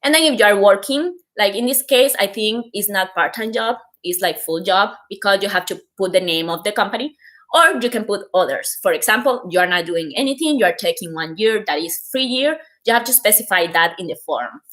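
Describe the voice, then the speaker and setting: A woman's voice: medium pitch, animated, brisk, a bit bright. A woman from Costa Rica with a Costa Rican Spanish accent, explaining things informally on a livestream and stressing key points with frequent filler words. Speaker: host giving application advice.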